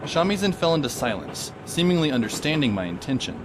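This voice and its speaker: A man narrating an audio drama as a wry, deadpan teenage boy. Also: a little high, fast talker, heavy background noise.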